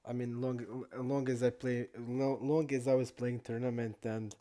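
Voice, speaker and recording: A young man from Croatia, not an English speaker, chatting casually and haltingly while streaming poker. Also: mid-range voice, little pitch movement, warm tone. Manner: halting non-native English, many restarts and fillers.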